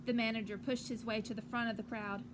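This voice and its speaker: A woman teacher reading a picture book aloud to children outdoors on a porch, with gentle, encouraging asides. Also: a little high, level tone, quick pace. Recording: clearly noisy outdoor ambience.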